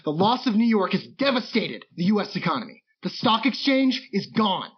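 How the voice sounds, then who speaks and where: A man's voice: high voice, big pitch swings, normal speed, lo-fi sound, full character voice. A man playing a grave, defiant war-time radio announcer with a dramatic, emphatic delivery. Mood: grave, rueful. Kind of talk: dramatic radio news broadcast acting. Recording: band-limited radio sound with clear noise.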